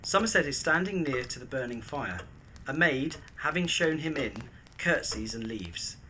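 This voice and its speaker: A man's voice: a little high, lively intonation, normal speed, pushed and bright, a little hiss or hum, poor audio. A man reading scripted scene-setting narration for an audio drama. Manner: descriptive, present-tense stage directions.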